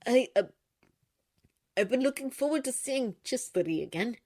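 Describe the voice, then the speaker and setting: A woman voicing an awkward, well-meaning middle-aged man in a storybook reading, in a hesitant, gentle manner. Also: fairly high pitch, lively intonation, measured pace, voice pushed up.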